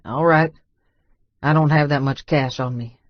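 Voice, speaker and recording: A man's voice: a little high, fairly even intonation, a little slow, no echo, lo-fi sound, natural voice. A man playing a blunt, stubborn redneck billionaire in an audiobook. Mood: matter-of-fact, cocky. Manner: folksy, blunt, colloquial. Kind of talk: audiobook character dialogue.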